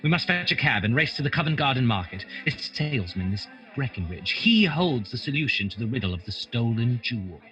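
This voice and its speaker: An actor playing a brisk, impatient, commanding male detective in a radio drama. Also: medium pitch, big pitch swings, fairly bright tone, highs cut off, faint music behind. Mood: brisk, urgent.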